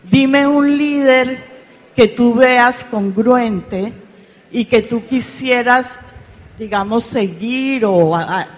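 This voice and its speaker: Slow, probing woman in the audience. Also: on the high side. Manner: probing, challenging. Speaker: audience member asking a question. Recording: long hall reverb.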